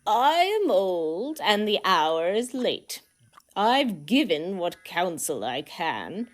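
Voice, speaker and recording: Ancient Martian sage: grave, cryptic, drawn-out delivery with theatrical swoops, comic radio play. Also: female voice, fairly low pitch, sing-song. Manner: slow, dramatic, long pauses.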